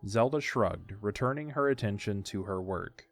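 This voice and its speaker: Low-pitched male story narrator. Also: animated, moderate pace, faint music behind. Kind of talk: scripted audio drama story narration.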